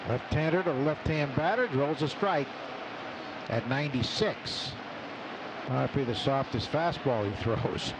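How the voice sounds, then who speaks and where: A man's voice: mid-range voice, measured pace. A man doing live baseball play-by-play on the radio, in clipped phrases with statistical asides. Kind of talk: live sports commentary. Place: ballpark broadcast booth. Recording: live radio, loud stadium background noise. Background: clear ballpark ambience noise.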